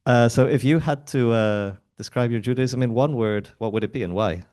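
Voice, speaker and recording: A man's voice: mid-range voice, normal speed, darkish tone. A man hosting a panel discussion, introducing guests casually and laughing often. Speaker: host.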